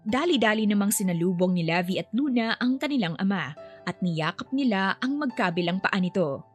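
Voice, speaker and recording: Woman narrator over soft music. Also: medium pitch, lively intonation, brisk. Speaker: story narrator. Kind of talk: scripted narration of a family romance story.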